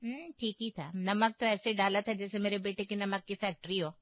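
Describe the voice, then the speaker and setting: A woman's voice: medium pitch, steady tone, normal speed, no room sound, close to an everyday voice. Sarcastic, melodramatic mother-in-law for a cartoon, acted by a woman, middle-aged, dry muffled sound. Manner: taunting, melodramatic. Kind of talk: cartoon moral story acting.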